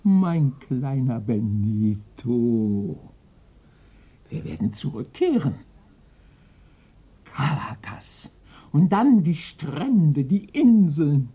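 A woman's voice: rumbling low, very animated, very slow, slightly rough, muffled recording, full character voice. An actress playing a domineering, eccentric old woman in her eighties, vengeful and wistful, coaxing and commanding theatrically in a German radio play. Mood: coaxing, grandiose. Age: older.

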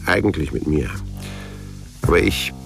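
A man's voice: a bass voice, expressive, a little slow, vocal fry, music in the background, heavily acted. An actor playing a weary, cynical private detective who tells his own case dryly and laconically in a radio play. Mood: weary, bitter. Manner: dry, laconic. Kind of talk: radio play narration and dialogue.

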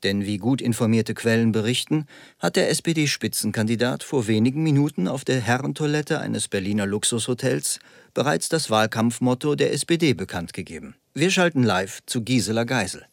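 An actor playing a polished, faintly amused television news anchor in a satirical radio play. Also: male voice, medium pitch, lively intonation, normal speed, gravelly, hardly any pauses, no echo, studio quality. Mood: composed. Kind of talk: parody TV news presentation.

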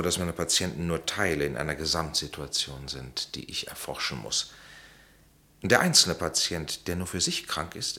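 An actor playing a blunt, dryly ironic, authoritative psychiatrist in a German radio play, with a deliberate, lecturing delivery. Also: male voice, extremely low pitch, sharp tone, full character voice. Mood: calm, probing.

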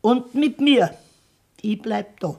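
A woman's voice: fairly high pitch, expressive, slow, heavy background noise. An actress playing a stern, pragmatic old farm grandmother with a Bavarian accent in a dialect radio drama, blunt and scolding. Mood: matter-of-fact, insistent. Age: older.

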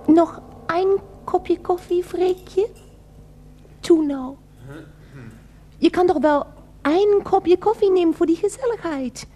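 A woman's voice: very high-pitched, slow pace, long pauses, warm tone, slight background noise. An actress in a radio play playing a cutesy woman who coaxes in a sugary, wheedling manner. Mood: coaxing. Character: coaxing, cutesy woman fussing over her beloved.

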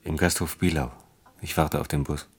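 Very deep-voiced, hesitant man. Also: fairly even intonation, normal speed, husky. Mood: subdued. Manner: hesitant, earnest, terse. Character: troubled, subdued engineer, awkward and yearning. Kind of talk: naturalistic German radio drama acting.

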